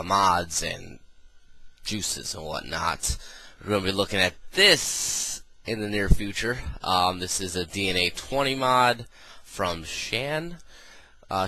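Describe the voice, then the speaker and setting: A man's voice: fairly low pitch, bright, forceful tone, poor audio. A man reviewing a gadget in a casual, rambling way, full of ums and uhs, sounding nasal from a cold. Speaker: product reviewer.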